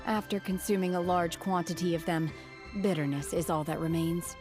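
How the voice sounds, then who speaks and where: Aloof warrior woman voiced by an actress, lowish voice, formal, deadpan, game music behind. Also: close to an everyday voice. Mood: measured. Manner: formal, measured, unemotional. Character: aloof female mountain hermit warrior, calm and blunt.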